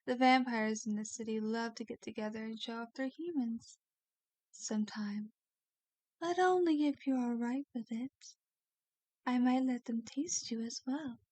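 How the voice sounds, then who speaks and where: A woman playing a kindly, doting vampire lady in an ASMR roleplay, speaking gently with a genteel, coaxing manner. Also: on the high side, unhurried, no echo, lightly acted. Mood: doting, pleased. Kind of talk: ASMR roleplay, soft scripted acting.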